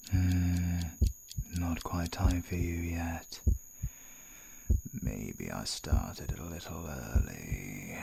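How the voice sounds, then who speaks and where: A British man playing a menacing supernatural interrogator in an ASMR audio roleplay, coldly polite and deliberate, with a breathy, gravelly, often whispered voice. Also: low voice, mellow, slight background noise, exaggerated voice, rough recording. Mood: calm, sinister. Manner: slow, deliberate, mockingly courteous. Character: menacing supernatural male villain, clawed soul-taking interrogator, coldly polite.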